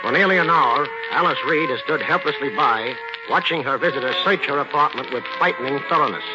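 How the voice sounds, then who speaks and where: A man's voice: on the high side, brisk, over loud music. A man narrating a radio drama in scripted 1940s broadcast style. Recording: muffled old radio broadcast.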